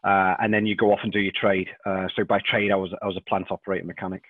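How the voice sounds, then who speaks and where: A man in his thirties with a Liverpool accent, a podcast guest who talks haltingly and repeats words and fillers. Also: deep voice, level tone, no room sound. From England. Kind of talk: casual interview chat. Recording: dry tinny phone-like line.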